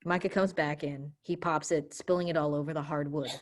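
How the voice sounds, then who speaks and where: A woman narrates a staged play reading. Her voice is low for a woman, and she reads out scene settings and actions as scripted narration. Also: steady tone, a little fast. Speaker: stage directions reader.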